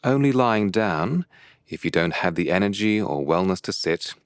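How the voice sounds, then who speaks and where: A man leading a guided meditation as a teacher, with calm, measured and deliberate phrasing broken by pauses. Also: sits low, clean tone, dry recording, clean studio sound.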